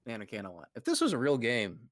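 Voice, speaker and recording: A man hosting a comedic fan fiction reading, animated and often laughing. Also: medium pitch, fast, no echo, clean studio sound. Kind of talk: comedic reading with group commentary.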